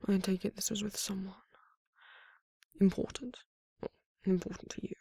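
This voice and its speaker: Woman doing a courtly vampire lover for asmr roleplay, super breathy n whispery, bit of creak, really close dry sound. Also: mid-range voice, level tone, normal speed, mellow, no echo. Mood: concerned, wry. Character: tender, courtly vampire lover with hypnotic allure.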